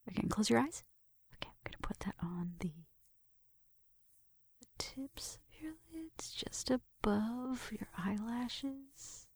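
A woman performing an ASMR roleplay in a gentle, breathy whisper, murmuring through each step close to the microphone. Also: mid-range voice, dry recording. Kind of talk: ASMR roleplay, soft personal attention. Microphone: close microphone, dry sound.

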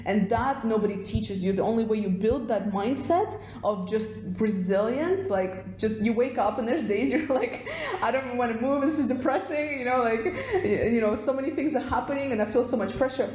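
A young woman from Russia, a podcast guest talking in quick, animated bursts with plenty of fillers. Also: on the high side, roomy sound. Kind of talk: casual interview chat.

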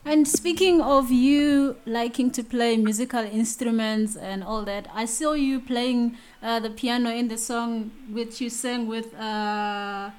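A woman interviewer asking polite questions, hesitant and filled with pauses. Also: on the high side, fairly even intonation, darkish tone.

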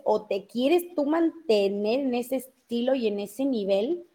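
A woman's voice: on the high side, mellow. Brief: woman host from Mexico, Mexico City accent, rambling casual talker full of fillers. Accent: Mexico City Spanish. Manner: talkative, many fillers and self-interruptions. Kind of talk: casual live news chat.

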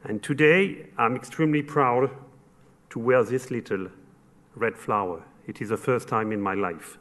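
A man from France with a French accent giving earnest, formal remarks as an event sponsor, in short measured phrases. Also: mid-range voice, fairly bright tone. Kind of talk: formal closing remarks at a luncheon speech.

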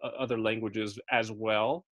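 A man's voice: lowish voice, fairly even intonation, moderate pace, a bit bright. A man teaching a Bible study in a casual, chatty way, often checking in with his listeners and correcting himself as he goes. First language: English. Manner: frequent fillers and self-corrections.

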